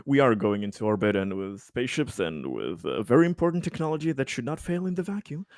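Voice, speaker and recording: A man casually discussing plans as a player in a tabletop game, with hesitant, deliberate phrasing. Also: mid-range voice, big pitch swings, a little mellow, dry recording, studio quality.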